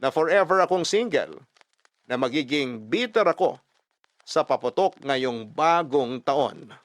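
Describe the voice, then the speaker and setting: A man narrating a first-person love story dramatically, expressive and emphatic, mixing Tagalog and English. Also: medium pitch, unhurried, no echo. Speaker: storyteller reading a listener's letter.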